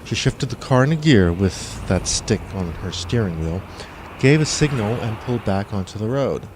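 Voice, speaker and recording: A man's voice: fairly low pitch, lively intonation, normal speed, background noise. A man reading his own scripted first-person fiction as an audiobook narrator.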